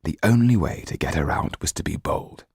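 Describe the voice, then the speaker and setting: Low-voiced male audiobook narrator. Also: animated, darkish tone, breathy edge, dry recording, studio quality. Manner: measured storytelling. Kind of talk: scripted literary narration.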